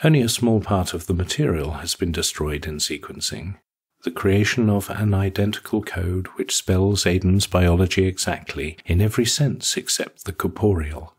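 A man's voice: sits low, moderate pace, husky. A man narrating a scripted short story.

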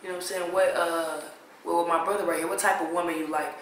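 A young man hosting a blind date, talking in an energetic, slang-heavy way. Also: on the high side, fast, a little hiss or hum. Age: young adult. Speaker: host. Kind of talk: casual chat. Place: living room indoors. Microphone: far camera. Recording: echoey room from far off.

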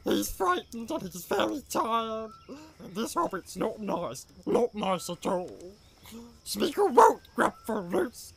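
Wretched hissy cave creature, super croaky, slow, whining and repeating himself, audiobook style. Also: male voice, high voice, very animated, voice pushed up, full character voice. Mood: whining, wary. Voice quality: hissing, croaky. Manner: pleading, repetitive, speaks of himself in third person. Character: wretched, whiny, sneering old cave creature. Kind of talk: dramatised audiobook character voice.

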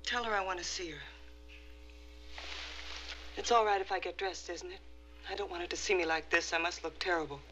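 A woman's voice: lowish voice, brisk, long pauses, sharp tone, a little hiss or hum, low-quality recording. An actress playing a distraught woman who claims to be a murdered wife reborn, in an intense, confessional, dramatic screen style. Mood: subdued pleading. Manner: intense, emotional, confessional delivery. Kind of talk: dramatic film or television acting.

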